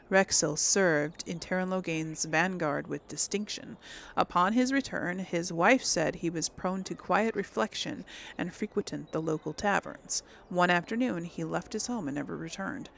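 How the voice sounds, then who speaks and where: A woman's voice: on the low side, moderate pace, vocal fry, a bit bright, a little hiss or hum. A woman doing casual, chatty let's play commentary, thinking aloud and reading in-game text. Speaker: host of a role-play game series.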